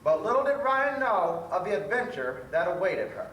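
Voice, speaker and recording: A jovial doctor of fun played by a man with a very high male voice. He hosts children's stage storytelling a little haltingly, distant in a roomy space. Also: very animated, a bit bright. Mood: theatrical. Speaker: host. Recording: roomy, distant stage recording with faint noise.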